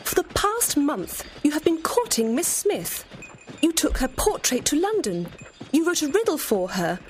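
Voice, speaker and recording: An actress playing a proud, frank young gentlewoman in a dramatised period audiobook, speaking formally and emphatically. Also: high-pitched, sing-song, a little slow, a little hiss or hum. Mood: indignant.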